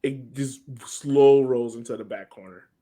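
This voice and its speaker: A man's voice: medium pitch, fairly even intonation, a little slow, warm tone. A man co-hosting a sports podcast, breaking down a soccer match in an informal way, thinking aloud as he goes.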